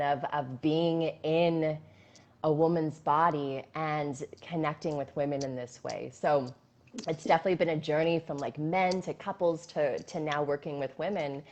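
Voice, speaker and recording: A woman's voice: deep voice, a little mellow. A young adult woman coach talking casually in a live stream, flowing and enthusiastic with many fillers and restarts, into a phone. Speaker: intimacy coach guest. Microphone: phone on a stand.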